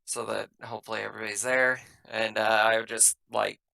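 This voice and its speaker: A man playing a tabletop roleplaying game, explaining things in a halting, matter-of-fact way as he improvises in character. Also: fairly low pitch, flat delivery, creaky, pushed and bright.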